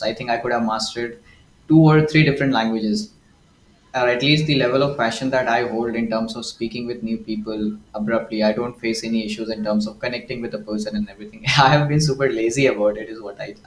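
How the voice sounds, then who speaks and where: Indian man, casual reflective talk, wordy, echoey room, faint background hum. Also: medium pitch. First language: Hindi. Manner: reflective, wordy, many restarts. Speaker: host. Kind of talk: casual conversation.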